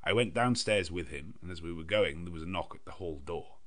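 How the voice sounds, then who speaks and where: A man with a very low voice narrates a classic gothic novel. His delivery is measured and literary, that of an audiobook storyteller reading from a script. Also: animated, fairly bright tone.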